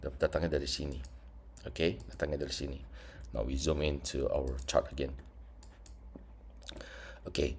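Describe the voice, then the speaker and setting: Guy with a stuffed-up nose, super low voice, chill chart walkthrough, drops english trading words. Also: fairly even intonation, a little slow, vocal fry, pauses often, a little mellow, a little hiss or hum. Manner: mixes English trading terms into casual talk. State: congested nose. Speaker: trading teacher. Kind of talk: screen-share chart tutorial.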